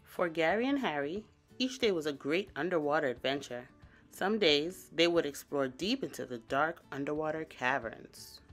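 Woman reading a kids picture book, lowish voice, super expressive, bit of music behind. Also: moderate pace. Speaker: read-aloud storyteller.

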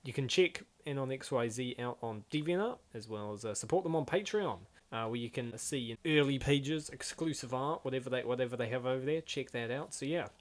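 A man's voice: medium pitch, fairly quick, hardly any pauses. A man hosting a comic dub with casual, slangy, exclamatory reaction commentary. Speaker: host of a comic dub channel.

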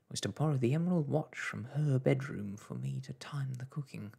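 A storyteller voicing a fretful, guilt-ridden mother in a children's storybook, breathy and quavering, with a folksy, lamenting manner. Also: medium pitch, fairly quick, mellow, voice pushed up. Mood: fretting. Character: fretful, guilt-ridden mother of a tiny family, tearful scolder.

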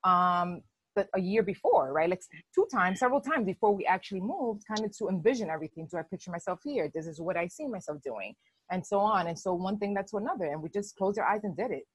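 A woman's voice: mid-range voice, expressive, fast talker, slightly rough, no echo, low-quality recording. A woman in her thirties with a Dominican accent, talking casually and emphatically as a co-host on a video call, slipping into Spanish phrases. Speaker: podcast co-host.

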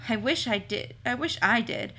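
Woman acting a worried storybook girl, earnest, chatty fretting, high bright voice. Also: animated, moderate pace, exaggerated voice. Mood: weary. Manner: fretful, chatty, thinking aloud. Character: earnest, worried girl heroine talking to her dog.

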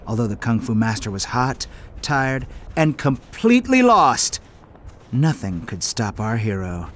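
An excitable young panda warrior from a video game. His delivery is boyish and goofy, swinging widely in pitch. He takes his time over words, with noticeable ambient noise behind him. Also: a little slow. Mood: mock-heroic. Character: excitable hungry young male panda kung fu hero. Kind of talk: animated video game voice acting.

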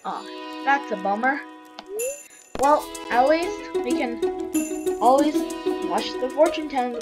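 A young woman with a slightly hoarse voice, chatting casually as she plays a farming game and reads its text aloud. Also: sits high, animated, slow pace. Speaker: gamer narrating a farming game. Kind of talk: casual let's-play commentary. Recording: game music loud behind voice.

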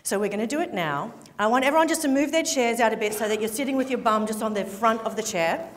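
An Australian woman giving a chatty, animated keynote speech to a live audience on a large stage. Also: fairly high pitch, quick pace. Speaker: keynote speaker. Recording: reverberant event hall.

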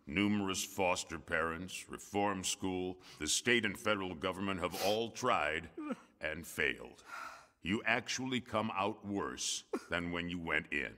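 Deep, stern judge in echoing hall. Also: male voice, measured pace, pushed and bright, exaggerated voice. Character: stern, grave male courtroom judge. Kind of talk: radio drama acting. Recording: long reverberant hall echo.

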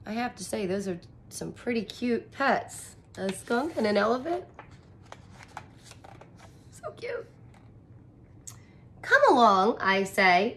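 A woman in her thirties reads a picture book aloud to young children and comments on it in simple, expressive, wonder-filled phrases. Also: mid-range voice, slight background noise. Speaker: teacher reading aloud to children. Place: small indoor room, curtained corner.

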